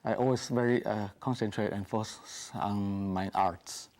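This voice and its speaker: Low flat-voiced guy, halting english, lots of restarts, studio lapel mic. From Taiwan. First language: German. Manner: halting, searching for words, many restarts and fillers. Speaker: guest concert pianist. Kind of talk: studio interview.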